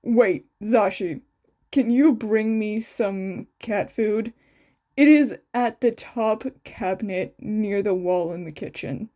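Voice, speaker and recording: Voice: mid-range voice, slow pace, warm tone, lightly acted. A woman voicing a tired, laconic grown man who sounds dry and unbothered, in a story reading. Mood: dry, weary.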